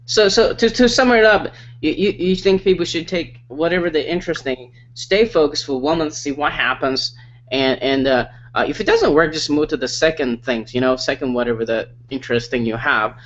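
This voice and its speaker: A man's voice: fairly high pitch, moderate pace, a bit bright, dull audio. A man hosting an online interview, talking in a hyped, salesy way with lots of repeats and fillers. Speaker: interview host.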